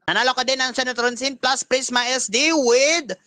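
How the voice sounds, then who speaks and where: A man's voice: very high-pitched, moderate pace, bright, forceful tone, no room sound. A Filipino man co-hosting a live game show and announcing prizes. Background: music.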